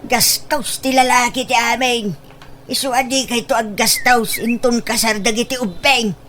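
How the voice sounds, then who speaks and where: A woman's voice: fairly high pitch, steady tone, measured pace, music in the background, lightly acted. A woman playing a gossipy, teasing in-law in comedic radio drama, with an Ilocano accent.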